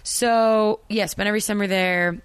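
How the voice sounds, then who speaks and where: A woman from Maryland with a low voice, an actress chatting in an interview and rambling with many fillers and restarts. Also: fairly even intonation, moderate pace, smooth, no echo. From Maryland, USA. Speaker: actress, guest. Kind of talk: casual interview chat.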